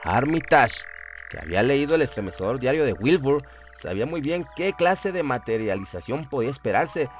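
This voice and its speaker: A man's voice: mid-range voice, big pitch swings, moderate pace, faint music behind. A man narrating a scripted horror story in a solemn, dramatic storytelling manner.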